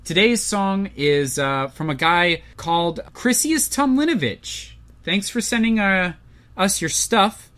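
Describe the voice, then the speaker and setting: A young man co-hosting a podcast in casual chat, talking quickly with dry, deadpan sarcasm. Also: sits high. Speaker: podcast co-host.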